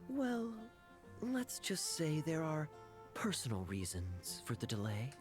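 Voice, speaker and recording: An actor playing a suave, teasing knight captain in a video game, with a sly, smooth manner. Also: male voice, on the high side, wildly swooping pitch, slow pace, music in the background, exaggerated voice. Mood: amused, courteous.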